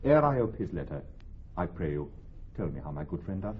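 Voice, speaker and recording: An ardent, loyal young gentleman delivers formal verse. His fairly low voice swings widely in pitch, on a dull old radio recording with music behind. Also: big pitch swings, fairly quick, slight background noise. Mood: distraught. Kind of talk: classical Shakespearean radio drama.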